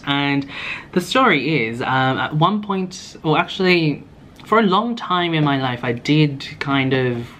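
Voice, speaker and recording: A man's voice: fairly high pitch, a bit bright. A young man from Hong Kong chatting casually and ramblingly to the camera at home, as a vlogger. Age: young adult. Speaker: vlogger, beauty content creator. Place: indoors in a home living space. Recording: clear background room noise.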